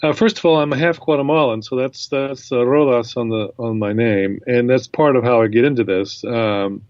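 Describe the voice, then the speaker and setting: A man answering as an interview guest, explaining his points in a measured way. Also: mid-range voice, a little mellow, no echo, lo-fi sound. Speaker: guest professor.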